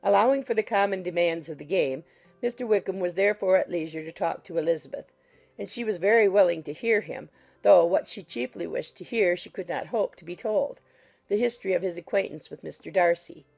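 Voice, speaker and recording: Lower-pitched, creaky woman narrating. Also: a little mellow, soft background music. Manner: measured, literary reading. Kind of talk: scripted audiobook narration.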